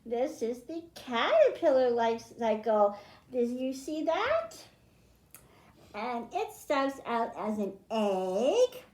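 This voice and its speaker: Female storytime host, higher-pitched, teaching small children in simple terms; echoing room. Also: sing-song, slow pace, darkish tone. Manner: simple explanations addressed to children, with questions to them. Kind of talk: children's storytime read-aloud and science lesson. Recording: roomy, echoing indoor sound.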